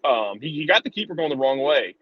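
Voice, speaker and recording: A man's voice: fairly high pitch, sing-song, a little fast, breathy edge, no echo, dull audio. A man giving casual sports analysis in an informal, conversational way, repeating words for emphasis. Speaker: soccer show commentator.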